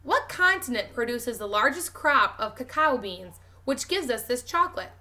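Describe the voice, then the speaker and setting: Storybook neighbour woman, read by a woman. Bright voice, kindly teasing, firm coaching manner. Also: on the high side, big pitch swings, normal speed, slight background noise. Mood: encouraging.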